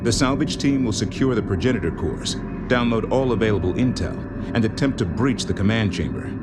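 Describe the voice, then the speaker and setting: An actor playing a grave male military commander in a space game, briefing the fleet in a formal, measured, authoritative way. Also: fairly low pitch, animated, natural voice. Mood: grave, commanding. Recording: loud music behind the voice. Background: loud cinematic game score.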